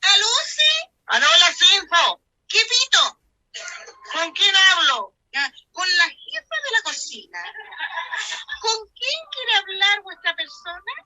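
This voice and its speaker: Shrill Chilean comedy housemaid. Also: female voice, very animated, slow pace, long pauses, sharp tone, full character voice, rough recording. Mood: nosy, clueless. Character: scatterbrained, cheeky housemaid, shrill and simple. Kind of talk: old comedy radio serial acting.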